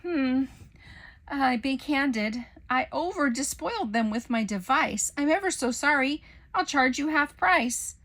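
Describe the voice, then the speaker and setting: Woman voicing bumbling storybook professor, chirpy pitch, high and bright. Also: expressive, a little slow, heavily acted. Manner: chirpy salesy patter. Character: cheerful, bumbling female food professor. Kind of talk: storybook character voice.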